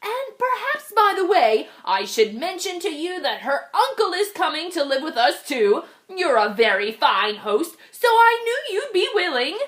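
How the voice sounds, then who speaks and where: A woman's voice: very high-pitched, sing-song, measured pace, few pauses, a bit bright, voice pushed up, exaggerated voice. A woman voicing a breezy, presumptuous little male storybook bird with a chirpy, self-satisfied manner. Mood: smug.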